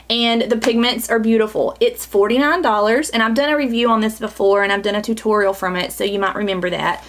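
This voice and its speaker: A chatty woman talks through a makeup tutorial in a small home studio. Her voice sits a bit high and sounds scratchy from a lingering cold, and she keeps a bubbly, confiding tone close to the camera mic. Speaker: beauty vlogger.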